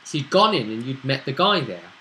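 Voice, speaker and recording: A man's voice: mid-range voice, big pitch swings, fairly quick, pushed and bright, slight background noise. A man hosting a casual recap of a tabletop roleplaying session, telling it in a conversational storytelling way. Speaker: host and game master recapping a tabletop session.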